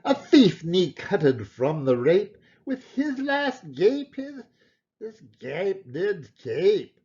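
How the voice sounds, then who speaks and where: A man with a fairly high voice reads verse aloud in a theatrical, emphatic manner. His pitch swings widely from line to line, and he leaves deliberate pauses. The recording is a little dull and lo-fi. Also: big pitch swings, warm tone. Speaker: poetry reader. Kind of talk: theatrical poetry recitation.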